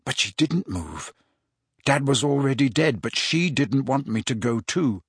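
A man reading a quietly determined teenage wizard boy. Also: medium pitch, measured pace, slightly rough, no echo. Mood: quiet, grim. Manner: quiet, steady, pressing.

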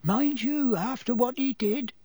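A man voicing a gloomy, gruff bus driver in an audiobook, with a slow, dark, grunting manner. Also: very high voice, no room sound, pitched above the performer's own voice, full character voice. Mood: grim. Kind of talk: audiobook character voice.

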